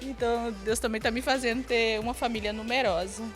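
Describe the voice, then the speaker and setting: A woman's voice: fairly high pitch, fairly even intonation, a little fast, music in the background. A Brazilian-accented woman in her early thirties, an interview guest telling personal stories casually. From northern Brazil. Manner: chuckles often while talking.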